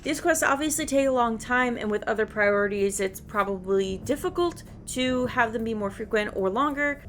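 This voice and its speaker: A woman's voice: a little high, fairly bright tone, a little hiss or hum. A woman giving casual, rambling game commentary as a video creator, thinking aloud and questioning herself as she goes. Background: background music.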